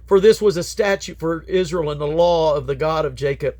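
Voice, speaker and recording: A man's voice: fairly high pitch, brisk, a little mellow. A man reading Bible scripture aloud in a solemn, declamatory manner, letting his phrases fall away at the end. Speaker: Bible reader.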